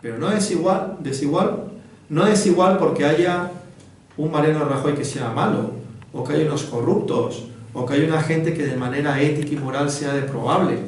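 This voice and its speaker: A man's voice: a little high, moderate pace. A man from Venezuela giving a didactic public talk, often checking in with his listeners. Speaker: book presenter, foundation director. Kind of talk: informal public talk on Marxist theory. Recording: very echoey room, distant mic, faint noise.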